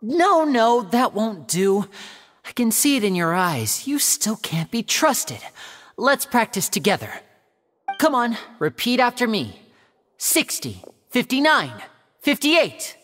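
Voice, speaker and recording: A woman's voice: fairly high pitch, sing-song, slow, a bit bright, big-room sound, a little hiss or hum, heavily acted. A woman voicing a suave young male stage magician in a video game, addressing an audience with theatrical, polished and courteous patter. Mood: teasing.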